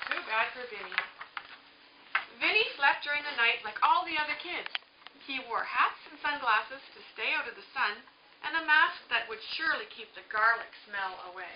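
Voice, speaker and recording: A woman with a fairly high voice teaches young children, reading a storybook and pausing to explain things simply. She sounds some distance from the mic in an echoey room, with clear background noise. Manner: explains simply, checks with short questions. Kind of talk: picture-book read-aloud with questions to children. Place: echoing room with a small group of children. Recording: echoey, distant, muffled, noisy room recording.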